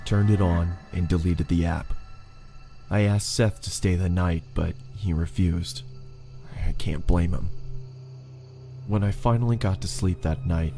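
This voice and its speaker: Deep, gravelly man narrating a scary story. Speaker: narrator of a first-person horror story.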